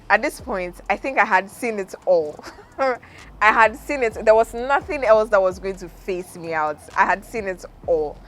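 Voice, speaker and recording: A young woman with a Ghanaian accent, hosting a podcast and telling a personal story in a relaxed way, laughing often as she goes. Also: lowish voice, a little hiss or hum. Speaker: podcast host. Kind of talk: casual storytelling.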